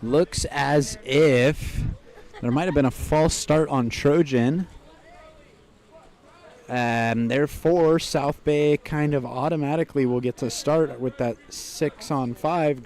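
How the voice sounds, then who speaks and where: Male play-by-play commentator for live sports coverage, medium pitch. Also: darkish tone. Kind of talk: play-by-play water polo commentary.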